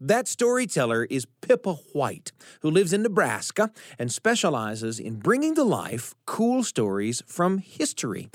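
A man's voice: mid-range voice, no room sound, clean studio sound. A man hosting a radio story hour, reading a scripted introduction and stretching out key words for emphasis. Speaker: radio show host.

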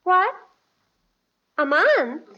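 A polite young daughter in an old radio sitcom. Her voice sits high and she speaks with hesitation. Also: big pitch swings, drawn-out words, sharp tone, lo-fi sound, full character voice. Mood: hesitant. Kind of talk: 1950s radio sitcom acting.

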